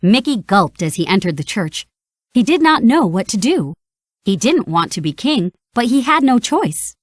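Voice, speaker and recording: A woman narrating a read-along storybook in an animated, expressive way. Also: a little high, normal speed, dry recording, studio quality.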